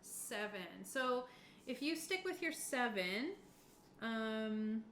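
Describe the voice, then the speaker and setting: A woman's voice: fairly high pitch, expressive, slow, a bit bright. A woman running a tabletop game as game master, narrating casually and explaining as she goes. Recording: roomy echo with clear background noise.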